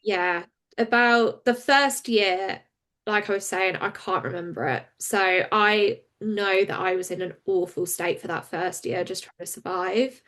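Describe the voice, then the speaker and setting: Frank woman telling her story. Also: mid-range voice. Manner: open and frank, quick and animated when recounting. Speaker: campaigner and guest. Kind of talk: candid conversational interview.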